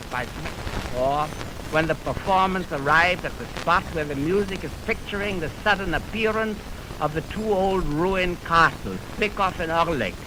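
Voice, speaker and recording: A man hosting a 1920s radio music program, lecturing in a formal, oratorical, measured way on a crackly old broadcast recording. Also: on the high side. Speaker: radio host introducing orchestral music. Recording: noisy, crackly 1920s optical film radio aircheck.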